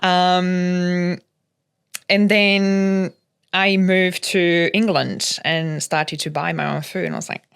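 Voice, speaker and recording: A woman's voice: lowish voice, slow pace, smooth. A woman from Slovakia with a Slovak accent, a native Slovak speaker, telling her personal story casually as a podcast guest.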